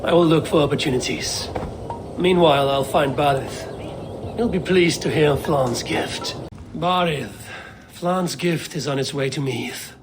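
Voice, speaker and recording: An actor playing a composed, purposeful Viking warrior hero in a video game, speaking in a measured, direct way. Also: male voice, a little high, background noise, natural voice. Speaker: game protagonist. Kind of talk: video game cutscene acting.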